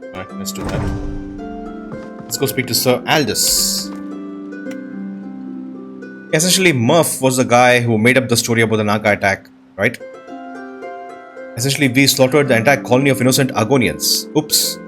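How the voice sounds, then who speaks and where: A man narrates his own video game playthrough in a casual style. His voice is medium in pitch, and faint plucked harp music plays behind him. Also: normal speed, long pauses. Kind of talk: casual gameplay commentary.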